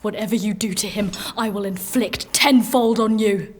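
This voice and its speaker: Woman playing tough dangerous warrior lady, medium pitch, clipped and intense, fantasy radio play. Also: fairly even intonation, normal speed, lightly acted. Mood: furious, defiant. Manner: terse, steely, intense. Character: fierce, guarded warrior woman, dangerous and protective. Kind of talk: audio drama acting.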